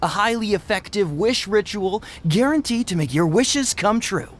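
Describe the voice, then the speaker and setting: A breezy, boastful young man in a video game. His pitch swings widely as he talks like an emphatic salesman, with faint noise behind him. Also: expressive, normal speed. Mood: eager persuading. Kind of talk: English video game voice acting. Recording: game capture with faint background ambience.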